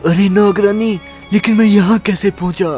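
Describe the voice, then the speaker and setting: A man's voice: high voice, steady tone, normal speed, darkish tone, faint music behind, close to an everyday voice. A man playing an eighteen-year-old son, a naive but determined hero, speaking emphatically in a melodramatic story. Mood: bewildered. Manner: dramatic, emphatic.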